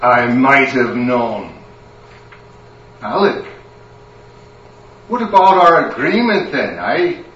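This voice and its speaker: A man's voice: medium pitch, lively intonation, slow, pauses often, a bit bright, reverberant, far from the mic, muffled recording, slight background noise. A man voicing a bossy, scolding Scottish grandmother who is a wine god, with a Scottish accent.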